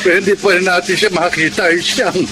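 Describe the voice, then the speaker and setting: A man's voice: sits high, fairly even intonation, fast talker, noisy background, lightly acted. A man playing a weary, exasperated middle-aged father in a Tagalog radio drama, fretful and grumbling.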